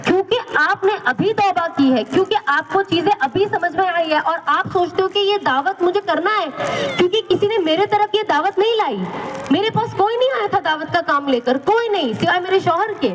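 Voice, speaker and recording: Woman, high voice, earnest religious talk, repeats phrases, long hall reverb. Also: lively intonation, moderate pace, noisy background. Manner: earnest, repeats phrases, acts out quoted people. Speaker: religious speaker. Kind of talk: Islamic talk.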